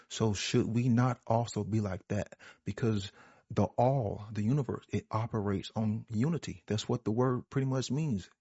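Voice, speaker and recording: Husky low-voiced man, earnest and preachy. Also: warm tone. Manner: earnest, preachy, repeats phrases for emphasis. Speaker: panel speaker. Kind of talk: reflective spiritual talk. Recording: roomy, dull lo-fi audio.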